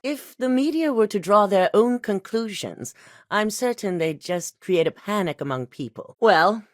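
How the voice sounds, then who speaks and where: A woman's voice: medium pitch, animated, measured pace, dry recording, studio quality, lightly acted. A woman playing a cool, commanding corporate executive. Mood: cold. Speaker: corporate boss giving missions. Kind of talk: video game voice acting.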